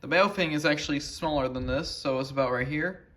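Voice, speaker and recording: A man chatting casually and spontaneously to camera as a hobbyist, in an excitable, exclamatory way. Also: fairly high pitch, fairly quick. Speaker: weather radio hobbyist and video maker. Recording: faint background noise.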